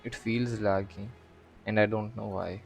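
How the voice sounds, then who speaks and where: Male gamer, lowish pitch, low-key casual chat over faint music. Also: level tone, unhurried, vocal fry. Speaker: gamer commenting on gameplay.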